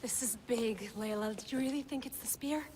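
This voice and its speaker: A woman's voice: on the high side, brisk, darkish tone, slight background noise, lightly acted. An actress playing a wary young female teammate in a video game cutscene. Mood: doubtful.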